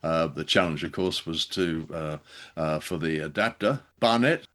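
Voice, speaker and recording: A man co-hosting a review show, talking conversationally and critically in a measured, hesitant, polite way. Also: extremely low pitch, a bit bright. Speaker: podcast co-host reviewing old radio drama.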